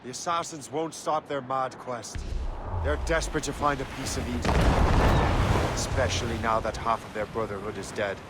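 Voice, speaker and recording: An actor playing a terse, determined sea captain and assassin hunter in a video game cutscene. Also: male voice, medium pitch, steady tone, normal speed, close to an everyday voice. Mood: grim. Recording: noisy game audio with ship ambience.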